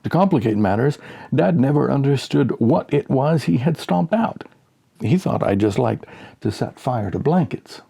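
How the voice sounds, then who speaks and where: A man narrating a humorous short story as an audiobook, with dry, measured storytelling. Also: mid-range voice, animated, gravelly, mellow, husky.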